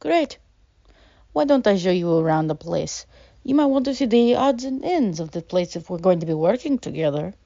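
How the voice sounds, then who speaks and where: Woman playing Glenn, mellow, persuasive show owner. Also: lowish voice, animated, normal speed, no room sound. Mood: welcoming. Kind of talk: audio drama acting. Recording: dull, dry lo-fi recording.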